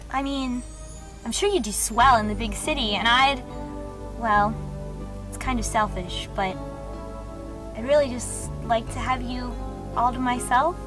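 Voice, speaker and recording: A woman's voice: a little high, close to an everyday voice. A young woman playing a sweet, wistful, lovestruck date in a comedic game cutscene. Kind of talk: live-action game cutscene acting.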